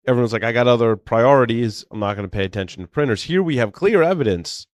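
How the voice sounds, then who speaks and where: A man hosting a casual tech podcast, talking in an animated way with many restarts and asides. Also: mid-range voice, fast, no echo, studio quality. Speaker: podcast host.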